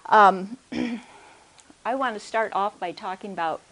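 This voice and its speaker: A soft-spoken woman giving a conference workshop talk into a microphone, hesitant, with many restarts and check-ins with the audience. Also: medium pitch, unhurried, a little hiss or hum, poor audio. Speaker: workshop speaker. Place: conference room. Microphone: handheld or stand microphone.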